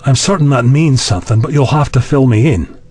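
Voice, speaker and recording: A man's voice: mid-range voice, fairly quick, a little mellow, slightly rough, faint music behind, close to an everyday voice. A man playing a sceptical, plain-spoken and anxious human ally in an audio drama, questioning and wry.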